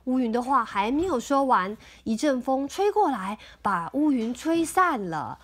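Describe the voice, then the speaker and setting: A woman reading a picture book aloud to her child at home, gentle and sing-song, pausing to explain. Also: high-pitched, lively intonation. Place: home, indoors.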